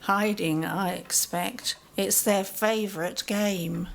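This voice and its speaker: An actress playing a dreamy, genteel, frank spinster aunt in an audio play. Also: female voice, medium pitch, steady tone, slow, gravelly. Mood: gentle, curious.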